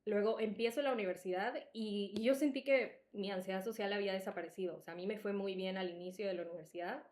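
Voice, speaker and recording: The voice is a young woman's, set at an average pitch in a clearly echoey room. Her Salvadoran accent has taken on a Mexican tinge. She hosts casually, telling personal stories in a candid and emphatic conversational style. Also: steady tone, fast, a little mellow. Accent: Salvadoran softened by Mexican. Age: young adult. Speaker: podcast host.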